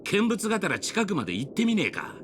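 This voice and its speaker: An actor playing a gruff, easygoing fishing lover in a video game, with rough, folksy, teasing speech. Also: male voice, sits high, wildly swooping pitch, rapid-fire, fairly bright tone, a little hiss or hum, heavily acted. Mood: breezy, friendly. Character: gruff, easygoing man who loves fishing. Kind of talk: Japanese video game voice acting.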